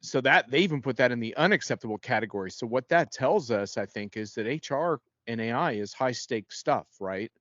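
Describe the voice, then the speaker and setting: A man's voice: mid-range voice, animated, brisk, few pauses, fairly bright tone, dry recording, highs cut off. A man speaking as a guest analyst in an unscripted panel discussion, in a conversational way with frequent self-corrections.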